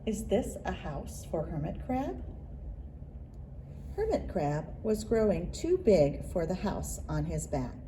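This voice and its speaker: Mellow woman reading a picture book aloud, expressively. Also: medium pitch, a little hiss or hum, low-quality recording. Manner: expressive, deliberate storytelling with rhythmic repeated phrases. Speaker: children's librarian and story reader. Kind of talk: picture-book read-aloud for young children.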